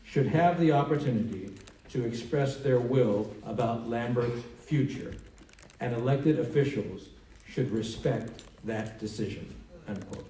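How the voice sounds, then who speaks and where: Male political spokesman, medium pitch, formal public delivery, roomy distant recording with faint noise. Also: unhurried, mellow. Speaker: congressman's communications director. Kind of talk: public speech, reading a prepared statement. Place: indoor town hall meeting. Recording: echoey hall, distant mic, faint noise.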